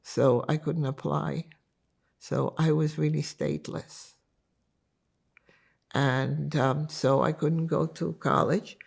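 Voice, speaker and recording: An older woman from Lithuania whose first language is Yiddish, recalling her life story in an interview in an unhurried, reflective way. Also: deep voice, steady tone, gravelly, darkish tone, slightly rough. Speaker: interviewee giving testimony.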